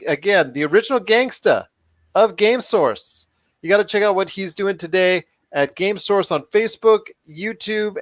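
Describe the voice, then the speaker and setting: A man with a slightly high voice hosts a pop podcast in an upbeat, bantering way. He is wordy and circles back to repeat his phrases. The sound is close and dry. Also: no room sound. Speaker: podcast host.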